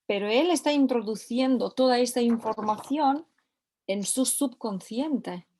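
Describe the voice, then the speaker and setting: A woman's voice: on the high side, unhurried, darkish tone, a little hiss or hum. A woman teaching a recorded course lesson, often repeating key phrases for emphasis. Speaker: course instructor.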